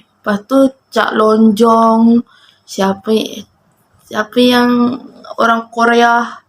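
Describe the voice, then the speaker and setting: A woman in her twenties with a Malaysian Malay accent, chatting casually to her viewers while rambling and getting distracted by her cat. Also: medium pitch. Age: 20s. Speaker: vlogger. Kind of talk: casual chat to camera.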